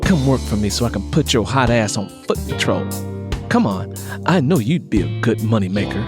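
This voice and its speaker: An audiobook narrator voicing a feisty, persistent young woman, snippy, bold and sharp-tongued. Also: mid-range voice, animated, moderate pace, over music, natural voice. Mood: defiant, fiery. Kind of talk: audiobook character dialogue.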